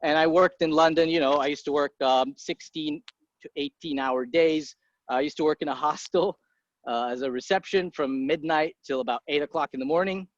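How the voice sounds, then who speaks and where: Man, 30s, from Egypt, slightly high voice, blunt casual talk, dry dull recording. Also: no room sound. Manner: blunt, swears casually, quick impulsive asides. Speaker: guest, entrepreneur. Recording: dry muffled podcast audio.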